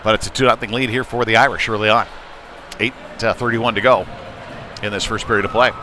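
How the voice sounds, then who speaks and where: A man giving live ice hockey play-by-play in short clipped calls with hesitant pauses. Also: fairly low pitch, steady tone, pushed and bright, soft background music. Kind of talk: live sports commentary. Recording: clear background noise, live rink ambience. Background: ambient sports venue noise.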